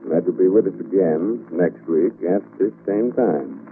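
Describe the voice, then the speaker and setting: Low-pitched, teasing man hosting horror radio. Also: measured pace, phone-quality sound. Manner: mock-sinister, teasing, chuckling. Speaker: horror show host. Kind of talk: 1940s radio mystery hosting. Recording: thin, noisy vintage radio recording.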